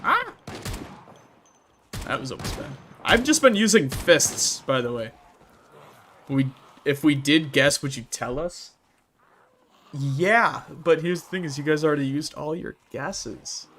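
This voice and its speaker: Midwestern guy streaming a game, reads chat out loud, chuckles a lot, slight hiss in the background. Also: a little high, very animated, measured pace, long pauses. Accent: Midwestern American. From Midwestern United States. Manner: reads chat messages aloud and answers them, laughing often. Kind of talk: casual livestream chat while gaming.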